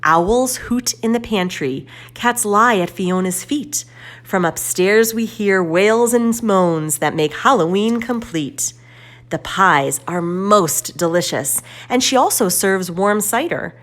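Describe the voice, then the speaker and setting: A woman reads aloud to children as a storyteller. Her voice sits a little low and moves through lively, varied intonation. She takes her time, phrasing each line deliberately. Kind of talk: expressive children's read-aloud narration.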